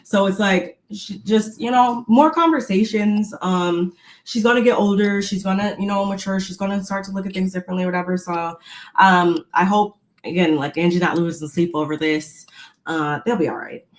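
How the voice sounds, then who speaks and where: A woman's voice: mid-range voice, fairly even intonation, normal speed. A woman co-hosting a podcast, chatting casually and rambling with lots of fillers. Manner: rambling, many fillers and restarts. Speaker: podcast co-host recapping reality TV. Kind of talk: casual chat. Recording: roomy echo.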